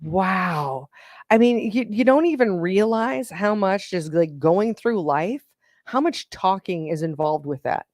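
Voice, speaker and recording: A woman's voice: mid-range voice, lively intonation, a little slow, a little mellow, dry recording, studio quality. A woman co-hosting a live racing engine show, chatting casually and rambling a little as she greets viewers and reads out their comments. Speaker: co-host of a racing engine livestream. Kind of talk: casual chat.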